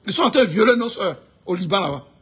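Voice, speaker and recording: A man from Ivory Coast with an Ivorian accent and a husky voice, delivering an informal, indignant political rant, emphatic and repeating his phrases insistently. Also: high voice, animated, fast talker. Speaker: online political commentator.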